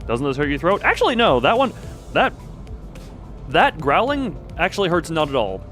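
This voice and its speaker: A man's voice: on the high side, sing-song, normal speed, a bit bright. A man chatting casually on a game livestream, rambling with playful asides. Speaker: livestreamer playing and voicing a game. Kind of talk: casual live stream chat. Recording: faint game music behind.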